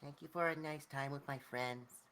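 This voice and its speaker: A game player voicing a tiny, shy, polite gnomish girl child who speaks haltingly and with eagerness. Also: medium pitch, steady tone, a bit bright, a little hiss or hum, voice pushed up, natural voice. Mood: shy, friendly. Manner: halting, stammering.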